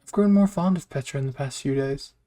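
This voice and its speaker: A man's voice: medium pitch, brisk, warm tone, faint music behind. A man reading a scripted first-person story aloud as a narrator. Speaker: fan fiction narrator.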